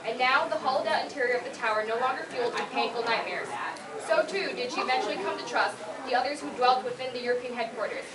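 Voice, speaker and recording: A woman's voice: on the high side, fast. A woman co-hosting a live fan panel, talking and reading aloud to an audience. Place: echoey room at a fan convention. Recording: echoey, distant mic, loud crowd. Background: noisy audience.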